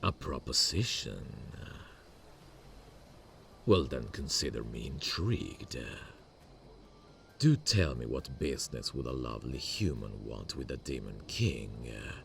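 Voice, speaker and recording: Very deep, gravelly demon king. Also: expressive, a bit bright, slight background noise, heavily acted. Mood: intrigued, flirtatious. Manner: grandiose, theatrical, slow and deliberate. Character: victorious proud demon king, boastful and charming warlord. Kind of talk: ASMR fantasy audio roleplay.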